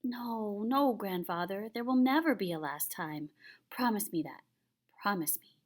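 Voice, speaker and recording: A woman's voice: mid-range voice, measured pace, voice pushed up. A woman voicing an eager, insistent young boy who pleads for stories in a children's picture book. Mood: pleading, loving. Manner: pleading, excited questioning.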